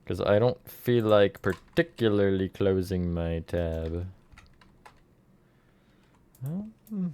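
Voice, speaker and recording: A man's voice: low voice, expressive, slow pace, pauses often, a little mellow, clean tone. A man streamer chatting casually with his viewers, counting blocks and answering chat while he builds.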